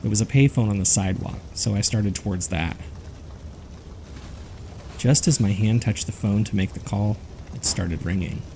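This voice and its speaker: A man's voice: lowish voice, normal speed, long pauses, dark tone, slight background noise. A man reading scripted narration as the host of a horror fiction podcast. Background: background music.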